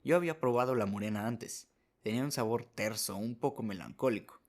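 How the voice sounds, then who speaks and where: A man's voice: medium pitch, a little fast, darkish tone. A man narrating a short story as a scripted radio drama, reading as the narrator.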